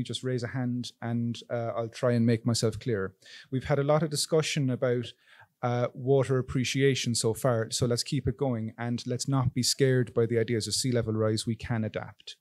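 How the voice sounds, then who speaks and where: A man's voice: medium pitch, fairly even intonation, fairly quick, a little mellow. An Irish man in his thirties, a town coordinator, presenting at a public forum from a podium microphone in a meeting hall. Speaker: town coastal resilience coordinator.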